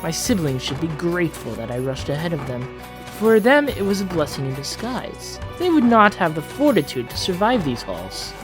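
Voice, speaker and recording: Casting: proud, entitled young prince played by a fan voice actor, formal fantasy narration, very expressive intonation, soft music underneath. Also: mid-range voice, normal speed, close to an everyday voice. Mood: uneasy, haughty. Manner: theatrical, formal, dramatic. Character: Prince Johannes, proud, haughty, entitled eldest royal son on a quest. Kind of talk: dramatic fantasy journal narration, fan dub.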